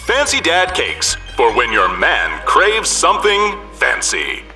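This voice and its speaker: A man's voice: a little high, wildly swooping pitch, takes it slowly, gravelly, sharp tone, faint music behind, exaggerated voice. A man playing an old-fashioned, salesy announcer in a parody radio advertisement. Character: smooth-talking old-fashioned commercial announcer.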